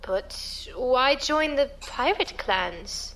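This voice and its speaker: A woman's voice: high voice, expressive, extremely slow, fairly bright tone, a little hiss or hum, heavily acted, rough recording. An actress playing an earnest, tender-hearted female passenger among space pirates in a science fiction audio drama. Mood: earnest, determined.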